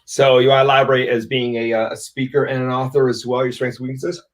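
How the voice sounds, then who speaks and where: A man's voice: medium pitch, flat delivery, fast talker. A man hosting a casual live-stream interview, agreeing often and asking open questions. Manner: affirming, frequent agreeing interjections. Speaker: interview host.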